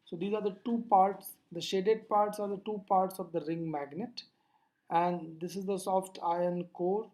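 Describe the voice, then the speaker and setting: A lecturer explaining physics over slides in a deliberate, didactic way, posing questions and then answering them. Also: medium pitch, steady tone, mellow.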